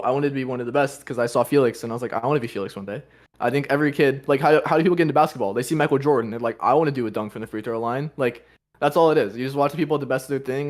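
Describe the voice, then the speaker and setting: A young man, a cubing coach from the United States, talking casually as a guest on a podcast, with many "like"s and fillers over a video call. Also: medium pitch.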